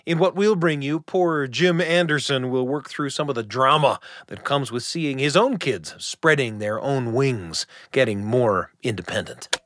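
A middle-aged man hosting a radio storytelling show, reading a script in an expressive, conversational way. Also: mid-range voice, unhurried. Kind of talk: scripted narration. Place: dry studio.

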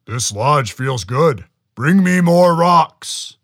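A man voicing a huge roaring giant monster that speaks slowly and loudly. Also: lively intonation, dry recording, clean studio sound, full character voice. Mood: booming. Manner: slow booming roars.

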